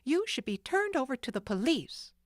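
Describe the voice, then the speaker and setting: A woman's voice: high-pitched, sing-song, normal speed, dry recording, clean studio sound, voice pushed up. A woman voicing a brave young girl detective who answers back coolly and defiantly. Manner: cool and defiant, firm.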